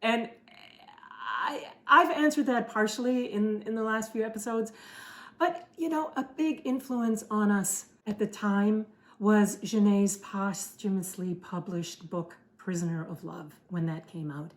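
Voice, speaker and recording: A middle-aged woman whose first language is German, telling stories in a relaxed chat with many fillers and restarts. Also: mid-range voice, a little slow. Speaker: podcast co-host. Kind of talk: casual conversational storytelling.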